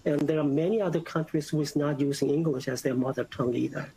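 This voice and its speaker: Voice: medium pitch, fairly even intonation, fast, dark tone, slight background noise, poor audio. A board member with a Korean accent, a native Korean speaker, arguing earnestly over a video call and often saying "you know". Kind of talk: formal remote meeting discussion.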